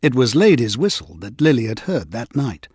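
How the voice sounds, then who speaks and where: A man narrating a children's read-along story in an expressive, scripted storytelling manner. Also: mid-range voice, normal speed, breathy edge, dry recording, studio quality.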